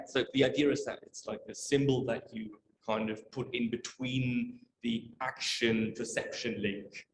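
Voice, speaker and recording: A man asking a casual, unscripted question at an academic talk, tentative and full of verbal hedging. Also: mid-range voice. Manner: tentative, heavily hedged with "kind of" and "like". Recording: echoey room with faint noise.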